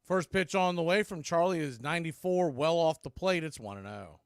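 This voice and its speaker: An American man doing live baseball play-by-play in clipped, punchy calls with pauses, mixed with casual fan chat. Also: on the high side, clear, resonant tone, no echo.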